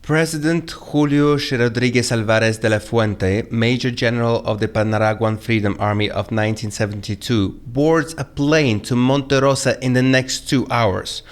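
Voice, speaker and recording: A man's voice: mid-range voice, normal speed, hardly any pauses, lightly acted. A man playing a formal, commanding captor in an audio drama, businesslike and matter-of-fact about his plotting.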